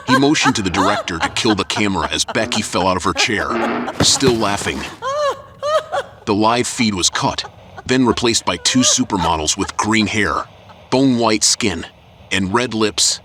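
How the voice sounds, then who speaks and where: A man narrating an audio drama in a measured, even storytelling voice. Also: lowish voice, background noise. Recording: music underneath.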